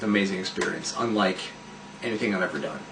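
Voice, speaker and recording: A man's voice: deep voice, quick pace, fairly bright tone, far from the mic, slight background noise. A man who acts in a radio play, wryly describing his part in a casual interview. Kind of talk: casual behind-the-scenes interview. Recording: roomy, away from the mic.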